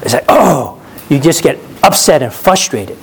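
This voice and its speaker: Chatty preacher with a higher voice. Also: male voice, sing-song, unhurried, gravelly, breathy edge. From New York, USA. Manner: chatty, often checks in with "right?"; laughs at his own stories. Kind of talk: conversational sermon.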